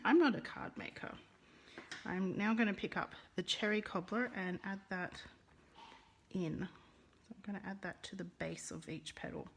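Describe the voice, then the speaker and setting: Russian-accented woman, crafts teacher, friendly live-stream patter, rolled R's, mid-pitched. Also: fairly even intonation, moderate pace, a little hiss or hum. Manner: chatty, greets viewers, rolls her R's. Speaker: card-making teacher.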